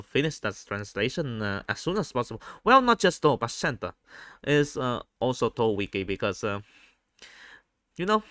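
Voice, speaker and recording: A man with a Vietnamese accent recording a spontaneous, unscripted audio log, speaking haltingly and often rephrasing himself. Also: medium pitch, fairly bright tone, clean tone, no echo, clean studio sound. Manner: halting, repeats and rephrases phrases.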